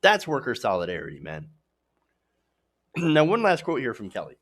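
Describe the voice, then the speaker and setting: Animated, slightly hoarse male commentator. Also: medium pitch, moderate pace. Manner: emphatic, opinionated, talks straight to viewers. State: slightly hoarse, losing his voice. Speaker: host of a political news show. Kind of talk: progressive political commentary.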